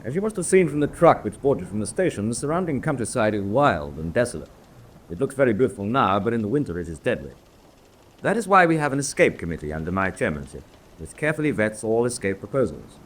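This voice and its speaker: An actor playing a senior officer in a radio drama, dry and authoritative, with a clipped, formal manner of briefing. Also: male voice, on the low side, sing-song, quick pace. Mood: brisk, authoritative. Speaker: senior prisoner-of-war officer.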